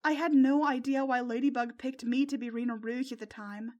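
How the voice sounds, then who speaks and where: A woman voicing a loyal, excitable teenage girl who gushes like a superhero fan in a narrated story. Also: high voice, a little fast, close to an everyday voice. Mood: sincere. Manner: gushing, squealing when excited.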